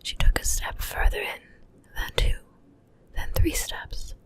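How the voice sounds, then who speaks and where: A woman reads a bedtime story in a soft, breathy ASMR whisper, hushed and gentle, close to the microphone from ear to ear. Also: a little slow. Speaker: ASMR reader. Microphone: close ear-to-ear stereo microphone.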